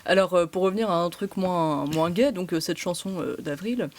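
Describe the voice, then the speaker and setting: A woman hosting a casual podcast, chatty and quick to laugh, with plenty of fillers. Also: lowish voice, a little mellow, slight background noise. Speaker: podcast host.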